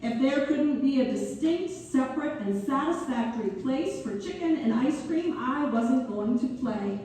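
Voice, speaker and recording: A woman playing a gracious, wryly humorous benefactor delivering a measured, playful dedication speech in a staged reading. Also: high voice, lively intonation, warm tone. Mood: humble, playful. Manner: measured, deliberate, playful oratory. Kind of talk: theatrical read-through of a formal speech. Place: theater hall, live staged reading. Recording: echoey hall from far off, faint noise.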